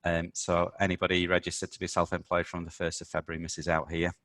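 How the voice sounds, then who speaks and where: Croaky guy running a business webinar, deep monotone, lots of ums, dry sound. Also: fast talker, dry recording. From United Kingdom. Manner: hesitant, many ums and uhs. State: slightly croaky voice. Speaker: webinar host, accountant.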